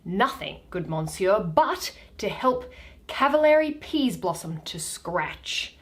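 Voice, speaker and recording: A woman's voice: mid-range voice, very animated, takes it slowly, voice pushed down. Woman playing pompous donkey-headed man, swooping delivery. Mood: fussy. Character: Bottom, bumbling self-important man, part donkey, hungry and sleepy.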